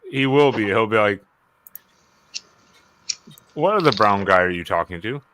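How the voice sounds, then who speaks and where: A man's voice: lowish voice, lively intonation, moderate pace, fairly bright tone, a little hiss or hum, low-quality recording. A man in a casual livestream hangout chat, bantering and swearing freely. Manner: profane, bantering.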